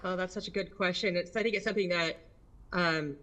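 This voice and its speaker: A woman's voice: on the low side, fairly even intonation, brisk, fairly bright tone. An American woman answering as a district governor in an interview, speaking candidly and emphatically with frequent rhetorical questions.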